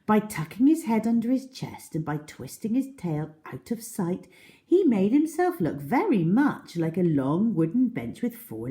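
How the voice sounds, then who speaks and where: A woman telling a children's story in an expressive, deliberate way with dramatic emphasis. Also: fairly low pitch.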